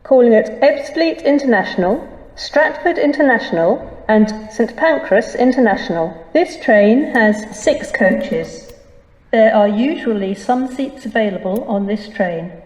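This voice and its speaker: A woman's voice: on the high side, expressive, unhurried, distant mic. A woman with a British accent making formal, automated railway platform announcements in segmented phrases over a station's public address system. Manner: formal, segmented phrasing pieced from recorded fragments. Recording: echoey station tannoy from afar, loud noise. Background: station ambience, train and vehicle noise.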